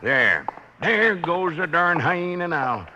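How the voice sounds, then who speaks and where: A high-pitched, swooping American frontier sheriff, rattled and folksy, with colorful emphatic talk. It is a radio western on a noisy old recording. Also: male voice, sing-song, slow, bright, forceful tone, highs cut off, exaggerated voice. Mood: exasperated. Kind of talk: 1950s radio western acting.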